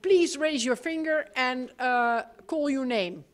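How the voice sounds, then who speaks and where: A high-voiced woman runs the room as host. She fires short, informal questions at the audience through a handheld mic in a large hall. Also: slow pace. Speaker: event host introducing team members. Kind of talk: live panel introductions. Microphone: handheld microphone.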